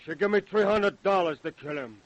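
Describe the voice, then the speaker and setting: An actor plays a sullen immigrant butcher cornered in a radio crime drama. His voice sits a little high for a man and sounds close and dry. He speaks halting, broken English. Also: no room sound, muffled recording, natural voice. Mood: desperate confessing. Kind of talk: old-time radio crime drama acting.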